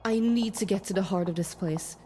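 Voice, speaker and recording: A woman's voice: medium pitch, brisk, dark tone, natural voice. A woman playing an imperious Norse goddess of war in a visual novel, with a disdainful, commanding manner. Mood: resolute, impatient. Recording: long reverb, music behind.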